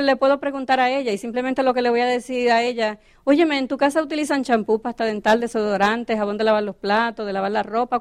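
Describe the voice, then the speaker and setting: A woman's voice: fairly high pitch, no echo. A woman giving a motivational business training talk, often checking in with her audience. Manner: coaching, asks the audience questions.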